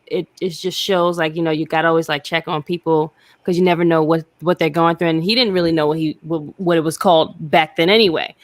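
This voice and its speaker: A woman co-hosting a review podcast, with a voice a little lower than most women's. She talks in a relaxed, meandering way, leaning on "like" and "you know". The sound is close and dry. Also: no echo. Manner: laid-back, lots of "like" and "you know", laughs easily. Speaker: podcast co-host. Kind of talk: casual review chat.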